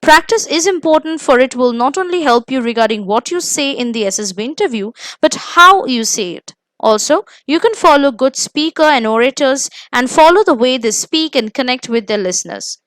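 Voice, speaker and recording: A woman with an Indian accent reading scripted coaching tips in a measured, emphatic instructional manner. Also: high-pitched, lively intonation, fairly bright tone, no room sound. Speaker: presenter of interview coaching lesson.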